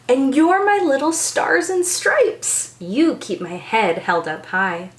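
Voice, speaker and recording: An American woman reads to young children in a high, very animated voice. She takes her time and sounds bubbly and enthusiastic, like a teacher hosting storytime. The room adds a clear echo. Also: takes it slowly. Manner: bubbly, enthusiastic, exaggerated child-directed delivery.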